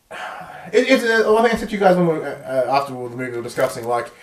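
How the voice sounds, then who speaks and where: A man's voice: mid-range voice, very animated, fast. An Australian man hosting a podcast, chatting casually as he reviews films. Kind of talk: casual chat. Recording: roomy echo, distant from the mic.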